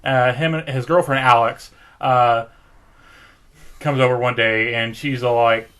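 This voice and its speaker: A man co-hosting a podcast, casually telling a story pitch to friends in loose everyday speech. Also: fairly even intonation, a little slow, fairly bright tone. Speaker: podcast co-host.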